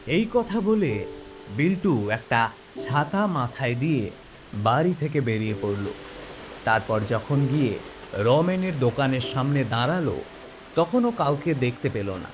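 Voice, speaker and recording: A man's voice: mid-range voice, sing-song, unhurried, long pauses, over music. A man narrating a scripted audio story in the role of narrator.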